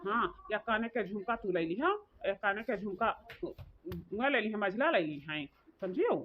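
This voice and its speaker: A woman's voice: medium pitch, animated, normal speed, close to an everyday voice. A woman voicing a domineering, scolding village mother-in-law in a comedy cartoon, in rural dialect. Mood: favouring, dismissive. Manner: nagging, domineering. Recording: muffled audio with clear background noise.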